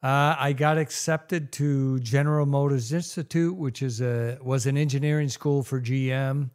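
An older man from Ohio, a guest telling stories in a plain-spoken, unhurried way with frequent pauses. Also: medium pitch, fairly even intonation, darkish tone. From Ohio, USA. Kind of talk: casual interview chat.

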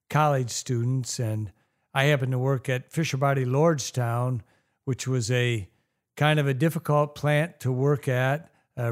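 An older man from Ohio, a guest telling stories in a plain-spoken, unhurried way with frequent pauses. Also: mid-range voice. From Ohio, USA. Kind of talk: casual interview chat.

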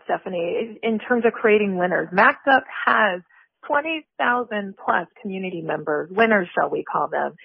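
A woman's voice: medium pitch, creaky, husky, no room sound. A woman hosting a podcast interview, asking polite, upbeat questions. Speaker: podcast host. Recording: tinny phone-like line.

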